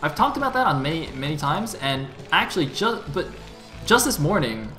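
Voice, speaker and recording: A man's voice: a little high, very animated, a little fast, fairly bright tone. A man giving analytical, easygoing play-by-play commentary on an esports match, with the odd joke. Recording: echoey room with music.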